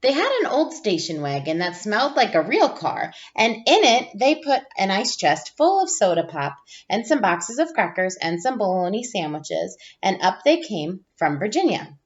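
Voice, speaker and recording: A woman with a mid-range voice reads a children's storybook aloud as a teacher would. Her delivery is expressive, with certain phrases stretched for emphasis. The recording is a little dull and lo-fi. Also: mid-range voice, normal speed, few pauses. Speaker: teacher reading aloud to pupils.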